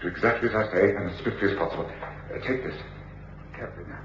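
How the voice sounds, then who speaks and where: An English actor playing a commanding, coolly reasoning detective with clipped, authoritative delivery in an old British radio drama. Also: male voice, deep voice, fast talker, a bit bright. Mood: urgent, commanding. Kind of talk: 1950s-60s British radio drama acting. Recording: echoey, dull, noisy old radio recording.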